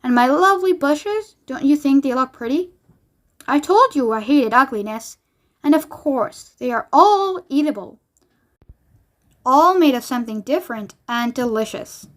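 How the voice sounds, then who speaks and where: Woman as eccentric male factory owner, storybook, high-pitched, boastful, excitable, theatrical showman. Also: measured pace. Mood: gleeful, boastful. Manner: excitable, theatrical, showing off with eager questions.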